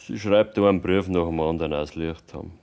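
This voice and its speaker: An actor playing a defiant, bitter young farmer's son with a Bavarian accent in a German radio drama, terse and stubborn. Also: male voice, low voice, brisk, vocal fry, darkish tone. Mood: subdued, sullen.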